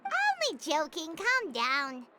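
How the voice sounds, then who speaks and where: Squeaky, very high fairy companion. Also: female voice, wildly swooping pitch, slow, heavy background noise, heavily acted. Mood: cheerful, teasing. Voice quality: squeaky, childlike. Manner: bubbly, exclamatory, refers to self in third person. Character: tiny chirpy childlike fairy companion, cheeky and excitable. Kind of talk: animated game voice acting.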